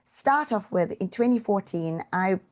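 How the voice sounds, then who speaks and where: A woman's voice: medium pitch, lively intonation, measured pace, darkish tone. A woman from South Africa, a guest on a podcast, earnestly telling her story in an interview. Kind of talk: interview answers.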